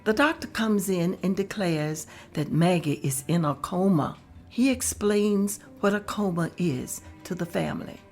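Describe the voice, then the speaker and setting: A woman narrating the stage directions at a table read in a plain, steady way. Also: fairly low pitch, a little slow, soft background music.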